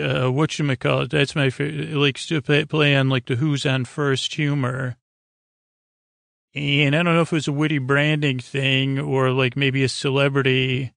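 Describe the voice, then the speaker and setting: An American man hosting a sleep podcast, rambling in a low-key, halting way and trailing off mid-thought. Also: creaky, a bit bright, no room sound, clean studio sound. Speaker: podcast host.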